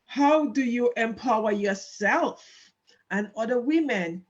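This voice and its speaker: A woman interviewer who affirms her guest and then offers her own measured views before asking her next question. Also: a little high, lively intonation, dull audio. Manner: measured, affirming, frequent "mm" backchannels.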